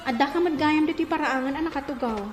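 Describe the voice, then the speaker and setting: Very high-pitched village woman friend played by an actress. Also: fast talker, close to an everyday voice. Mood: gentle, consoling. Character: gentle, concerned village woman, loyal friend. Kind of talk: radio drama acting. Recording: echoey, with music underneath.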